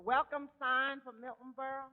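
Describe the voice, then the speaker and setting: An actor playing a droll, shrewd tavern waiter with a sly, wry manner in 1940s radio comedy. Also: male voice, extremely high pitch, level tone, normal speed. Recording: dull, noisy 1940s radio recording.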